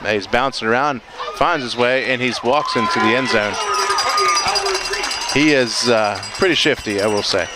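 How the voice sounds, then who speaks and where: A man calling a high school football game live from an outdoor stadium. His voice sits in a middle pitch and his delivery is easygoing, with jokes, frequent fillers and self-corrections. A loud crowd and stadium noise fill the background. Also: normal speed, long pauses. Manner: easygoing, joking, many fillers and restarts. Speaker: play-by-play commentator.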